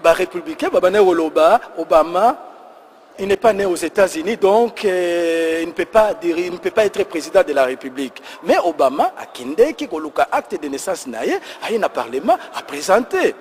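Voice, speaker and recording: Higher-voiced Congolese host, roomy sound. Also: male voice, big pitch swings, normal speed, slight background noise. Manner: probing, reads out the critics' complaints. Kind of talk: questioning a guest in a debate.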